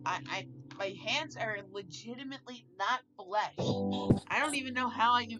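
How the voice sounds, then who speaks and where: A woman's voice: a little high, moderate pace, lo-fi sound, loud music behind the voice, lightly acted. A woman voicing a talkative living plush toy in a casual, playful reaction chat, silly and curious. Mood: bemused rambling. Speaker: reaction video host.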